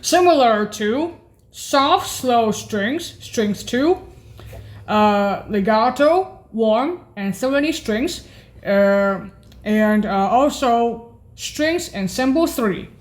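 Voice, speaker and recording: A woman with a fairly high voice presents keyboard sounds extremely slowly. She is hesitant and deliberate, naming items one at a time in an informal, halting demo style. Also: animated, soft background music.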